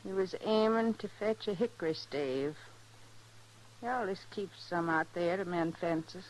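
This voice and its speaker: An actress playing a middle-aged, long-abused rural wife in a radio western, plain and quietly resolute, with a rural American accent. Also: female voice, on the low side. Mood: quiet bitterness. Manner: plain, unhurried, folksy. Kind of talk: 1950s radio western acting. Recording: noisy old radio drama recording.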